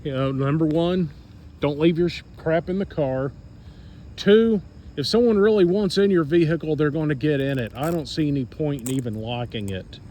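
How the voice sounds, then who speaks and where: A man's voice: on the high side, normal speed, warm tone, a little hiss or hum. An American man telling a personal story casually to followers, in a blunt, sarcastic, plain-spoken manner. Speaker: storyteller recounting own experience to followers.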